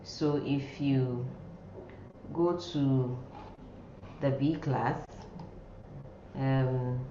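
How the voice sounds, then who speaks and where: A woman with a very low, mellow voice teaches an informal online class. She talks slowly and haltingly, often repeating herself. The sound is muffled and echoey, picked up by a computer microphone in a room. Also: steady tone. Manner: halting, repeating words and phrases. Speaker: nursing lecturer. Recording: muffled, roomy sound with faint noise.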